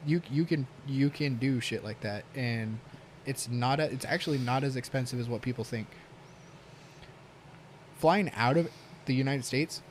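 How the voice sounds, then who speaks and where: A man talking casually as a podcast guest, with a quiet voice and heavy swearing. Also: medium pitch, slight background noise.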